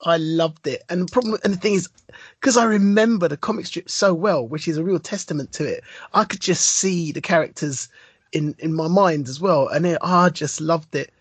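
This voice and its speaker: A man's voice: fairly high pitch, moderate pace, breathy edge, no room sound. A man co-hosting a podcast, telling a long story with a lot of enthusiasm and rambling asides. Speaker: podcast co-host. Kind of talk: casual chat.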